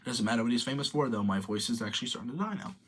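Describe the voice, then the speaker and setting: A man's voice: medium pitch, racing, fairly bright tone, a little hiss or hum. A young man in his late teens, casually rambling through commentary and reading viewer comments aloud. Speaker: streamer reading chat.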